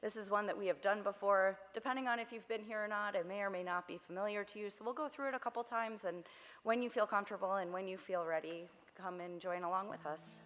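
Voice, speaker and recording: A young woman with a slightly hoarse voice, leading a worship service in a chatty, friendly way with many fillers. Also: medium pitch, fairly even intonation, quick pace, fairly bright tone. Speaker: service leader. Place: synagogue sanctuary. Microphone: body mic. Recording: long reverb of a sanctuary.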